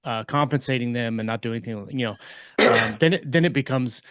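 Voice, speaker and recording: Man, medium pitch, dry close recording, podcast co-host, casual discussion, rambling explanatory delivery. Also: fairly quick, fairly bright tone, no room sound. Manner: rambling, explanatory, circles back to rephrase. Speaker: podcast co-host and fiction author.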